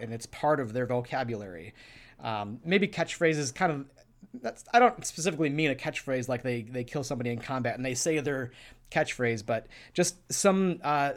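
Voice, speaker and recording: A man co-hosting, talking through tabletop roleplay tips in a casual, conversational teaching style. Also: mid-range voice. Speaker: podcast co-host giving roleplay tips.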